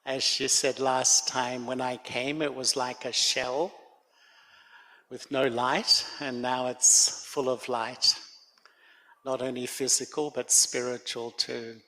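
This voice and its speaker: An older man, giving a gentle, reflective spiritual talk to a gathering at a meditation center. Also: medium pitch, level tone, takes it slowly. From Australia. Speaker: guest speaker. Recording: long hall reverb.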